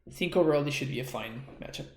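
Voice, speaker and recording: A man's voice: medium pitch, very fast, darkish tone, echoey room. Man with a Spanish accent, thinking aloud. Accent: Spanish-accented English. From Argentina. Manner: thinks aloud, wry and self-deprecating, frequent restarts. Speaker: streamer. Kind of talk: casual gameplay commentary.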